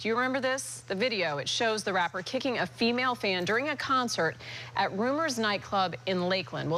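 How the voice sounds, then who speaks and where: A woman's voice: mid-range voice, a bit bright, a little hiss or hum, rough recording. A woman with an American accent reading scripted television news as an anchor. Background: faint news music.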